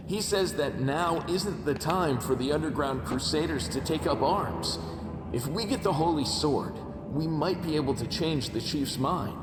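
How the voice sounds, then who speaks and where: An actor playing a determined, wry young underground tribe fighter in a video game, earnest with a streak of dry irony. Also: male voice, on the high side, lively intonation, measured pace. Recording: echoey distant hall, music behind.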